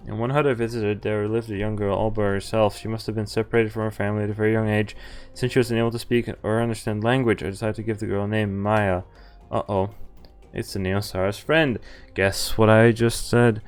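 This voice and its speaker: A man's voice: on the low side, level tone, brisk, clean tone, faint music behind. A man streaming a visual novel, reading its text aloud and breaking off to swear and react. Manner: reads narration evenly, breaks off to swear and react.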